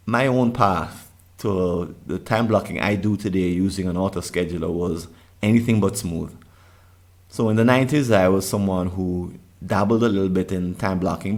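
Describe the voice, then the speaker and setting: A man's voice: low-pitched, vocal fry, a little mellow. A middle-aged man with a Jamaican accent, earnestly presenting a summit session and often restarting his phrases. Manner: earnest, with frequent restarts and repeated words.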